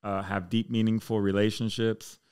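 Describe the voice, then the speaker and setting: A man's voice: on the low side, level tone, moderate pace, darkish tone, smooth. An American man chatting casually and candidly as a podcast guest, with slangy, bro-heavy speech. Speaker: podcast guest, military fire chief.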